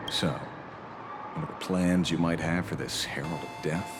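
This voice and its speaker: A man's voice: low voice, steady tone, natural voice. An actor playing a theatrical, slightly awkward male detective with a vengeful streak in a video game. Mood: solemn warning. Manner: theatrical, swings from grave and measured to flustered stammering. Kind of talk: dramatic video game character acting. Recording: background music under dialogue.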